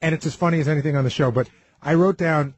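Husky, enthusiastic man, dry sound. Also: fairly high pitch, fast, no room sound. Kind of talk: casual recap talk.

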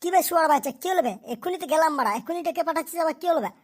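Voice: very high-pitched, rapid-fire. A performer voicing a cheeky, meddling, foul-mouthed wedding companion in a cartoon, thin-voiced. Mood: startled. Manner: meddling, teasing, crude. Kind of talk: amateur comedy cartoon voice acting.

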